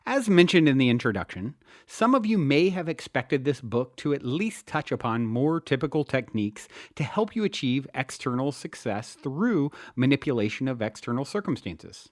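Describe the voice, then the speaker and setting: A man's voice: medium pitch, very animated, moderate pace, no room sound, clean studio sound. A man hosting and narrating spiritual self-help material in an earnest, emphatic way, as an author presenting his own work. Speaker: podcast host and author reading own book. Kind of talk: spiritual self-help audiobook narration with casual podcast introduction.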